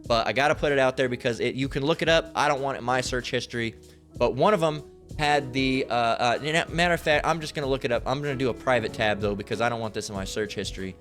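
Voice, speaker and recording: Guy reading verses and riffing on them, cursing a lot, tripping over big words, mid pitch, light music under it. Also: fast talker, fairly bright tone. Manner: loose and profane, stumbles over hard words; thinks aloud while searching online. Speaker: online religious commentator. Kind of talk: casual scripture reading and commentary.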